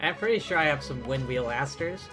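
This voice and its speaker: A man's voice: on the high side, lively intonation, fairly quick. Casual male streamer over faint music. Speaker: live streamer. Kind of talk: casual chat while playing a game. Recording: game music behind the voice.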